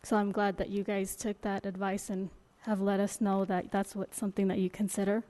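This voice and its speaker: A woman council member speaks at a public meeting in an even, level voice with a soft, darker tone. She is measured and plain, with a few ums along the way. She talks into a desk microphone in the council chamber. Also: medium pitch.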